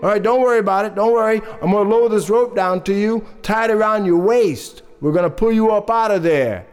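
A man's voice: high-pitched, fairly quick, smooth, voice pushed up, close to an everyday voice. A man voicing a reassuring fire chief giving instructions in a children's story. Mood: reassuring, urgent.

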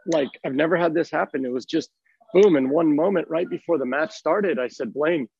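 A head coach who is losing his voice, speaking in a raspy tone and laughing easily between thoughts. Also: fairly high pitch, fairly quick, a little mellow, dry recording, dull audio. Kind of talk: phone interview.

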